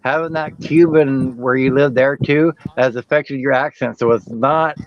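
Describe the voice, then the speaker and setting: A man's voice: mid-range voice, fairly even intonation, normal speed, dry recording, lo-fi sound. A man co-hosting a casual interview, talking in an easygoing, rambling, encouraging way.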